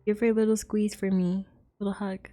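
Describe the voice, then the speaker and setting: A woman's voice: mid-range voice, level tone, fairly quick, dark tone, faint music behind. A woman livestreaming a game, chatting casually and in a giggly way with her viewers. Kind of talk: casual livestream chat while gaming. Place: livestream with game music behind.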